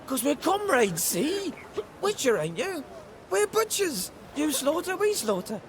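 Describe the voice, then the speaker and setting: A man's voice: very high voice, big pitch swings, unhurried, noisy background, heavily acted. An actor playing a nervous, jumpy male thug. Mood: jittery. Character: jittery male thug butcher.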